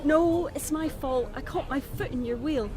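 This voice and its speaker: A woman's voice: high-pitched, moderate pace, lightly acted. An actress playing a flustered, apologetic, scatterbrained young woman in a naturalistic audio drama. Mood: flustered, grateful. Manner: apologetic, flustered, self-deprecating.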